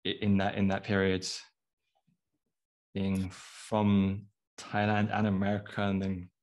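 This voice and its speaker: Male interviewer in his thirties on a video call, low and flat, halting with pauses. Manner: halting, many fillers and restarts. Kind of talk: hosting an interview. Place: small home room.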